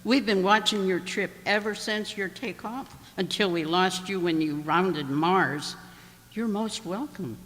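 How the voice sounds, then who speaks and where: A man playing a proper carrot wife and mother in a comic radio play, polite and measured. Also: sits high, a bit bright. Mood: gracious. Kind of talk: comic radio drama acting. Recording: echoey hall with long reverb.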